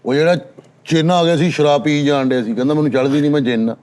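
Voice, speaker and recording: A quick-talking man with a Pakistani Punjabi accent and a fairly high voice. He tells stories casually among friends, insisting on them with some bravado. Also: steady tone, darkish tone. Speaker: talk show panellist. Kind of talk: casual storytelling.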